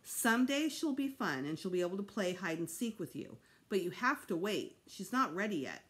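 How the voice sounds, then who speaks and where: A woman's voice: medium pitch, very animated, normal speed, fairly bright tone. A woman voicing an anxious, easily exasperated mother in a children's audiobook, emphatic and dramatic. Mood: patient.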